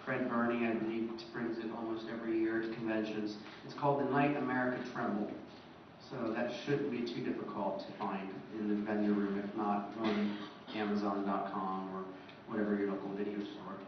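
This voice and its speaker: A man presents informally at a convention. His voice is somewhat low with little movement in pitch. He sounds far from the microphone in a highly reverberant, noisy room. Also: flat delivery, fairly quick. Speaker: co-presenter, historian. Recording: echoey, muffled hall from far off, noise.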